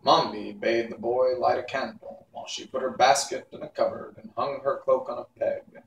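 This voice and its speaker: A man reading a classic children's book aloud in an unhurried, expressive storytelling manner. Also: mid-range voice, creaky, muffled recording. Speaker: audiobook reader. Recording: echoey room, distant mic, faint hiss.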